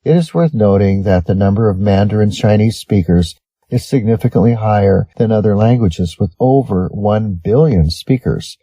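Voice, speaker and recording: Husky, mellow American man reading aloud. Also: lowish voice, normal speed, dry recording, low-quality recording. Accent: Western American. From Western United States. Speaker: English test-prep reader. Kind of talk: scripted read-aloud of sample essays.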